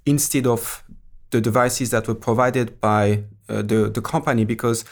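A man's voice: medium pitch, steady tone, normal speed. A middle-aged Swiss man with a French accent, a native French speaker, talking as an academic guest in a podcast interview, hesitant, with many fillers and restarts. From Switzerland.

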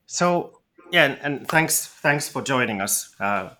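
A man hosting an interview podcast, his voice at an ordinary middle pitch. He talks eagerly and casually, warmly bouncing between thoughts as he welcomes guests. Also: lively intonation, takes it slowly. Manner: eager, chatty, lots of "like". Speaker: podcast host.

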